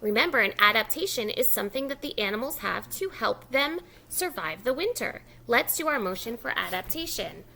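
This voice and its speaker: A woman working as a preschool teacher, reading a picture book aloud expressively and pausing with encouraging, simple prompts for young children. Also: fairly high pitch, very animated, gravelly, pushed and bright, a little hiss or hum.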